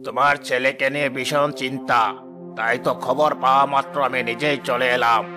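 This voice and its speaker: A man voices a solemn sage from a fairy tale cartoon. His delivery is slow and grave, with little movement in pitch. Music plays clearly behind him. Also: fairly even intonation, close to an everyday voice. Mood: grave, foreboding. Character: grave holy sage giving ominous prophecy to a king. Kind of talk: fairy tale animation voice acting.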